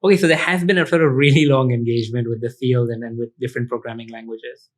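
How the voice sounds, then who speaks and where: Fast-talking chatty male host. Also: mid-range voice, steady tone. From India. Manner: quick, chatty, with frequent restarts and affirming asides. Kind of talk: casual interview.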